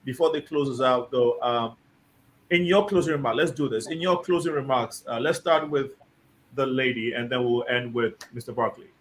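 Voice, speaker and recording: A male host leads a live discussion in a measured, deliberate manner, reading viewer comments aloud between questions. His voice sits at a mid pitch. A faint hiss of noise runs under the recording. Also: poor audio.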